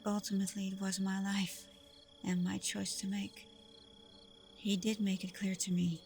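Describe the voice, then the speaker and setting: A woman playing a tender, protective vampire girlfriend in an intimate ASMR roleplay, speaking softly and breathily with a hesitant, reassuring manner. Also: mid-range voice, lightly acted. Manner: soft, breathy, hesitant, reassuring, often dropping toward a whisper. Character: tender, protective young female vampire girlfriend, secretive and self-doubting.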